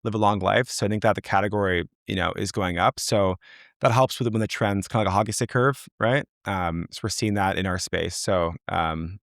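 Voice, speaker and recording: Young founder guy, low gravelly voice, rambly, tons of ums, dry close sound. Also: brisk, fairly bright tone, no room sound. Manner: loose, filler-heavy, rambling. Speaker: company founder, guest. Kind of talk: casual interview talk.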